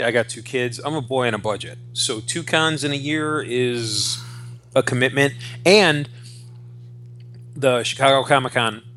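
A man's voice: medium pitch, sharp tone, a little hiss or hum. A man in his forties co-hosting a podcast, talking casually about geek culture with enthusiasm and plenty of swearing. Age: 40s. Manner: profane, enthusiastic. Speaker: podcast co-host.

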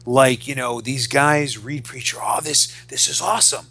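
A man in his forties talks as a podcast host. His voice is bright and sharp, sitting at a middling pitch. He speaks casually, airing strong opinions and swearing as he rants. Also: steady tone, normal speed, creaky, slightly rough. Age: 40s.

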